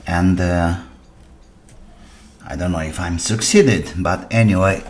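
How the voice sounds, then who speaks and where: Deep-voiced man, unhurried repair talk. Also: a little hiss or hum. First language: non-native English speaker. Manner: halting, thinking aloud while working. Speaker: repair channel host. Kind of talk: casual hands-on repair commentary.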